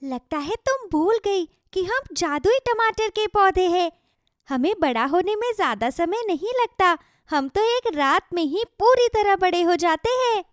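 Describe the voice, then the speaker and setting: High-voiced kindly magic tomato plant, played by a woman. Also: expressive, a little slow, no room sound, studio quality. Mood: reassuring. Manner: benevolent, solemnly advising, speaks as "we". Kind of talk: children's moral story cartoon acting.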